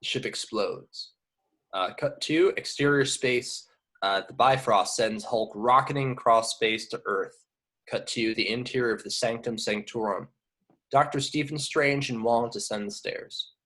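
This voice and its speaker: A man's voice: mid-range voice, a little slow, a bit bright. A man narrating scripted action descriptions at a table read. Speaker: stage direction narrator.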